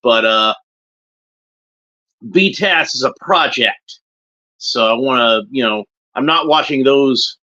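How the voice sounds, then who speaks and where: A man's voice: medium pitch, slow pace, pauses often, pushed and bright, highs cut off. A man hosting a watchalong with casual, rambling, unscripted commentary and short observational remarks. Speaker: host of a cartoon watchalong commentary.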